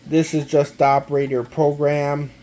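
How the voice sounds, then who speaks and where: A man gives a casual, halting, step-by-step product walkthrough, often repeating himself. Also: fairly high pitch, level tone, warm tone, a little hiss or hum. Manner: halting, repetitive, step-by-step explaining. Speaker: amateur gadget demonstrator.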